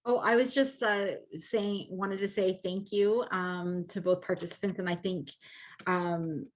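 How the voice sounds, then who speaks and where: A woman from the audience unmutes to speak, halting and searching for words. Her voice is low and flat in tone. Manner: hesitant thanks, halting. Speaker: audience participant. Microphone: video call.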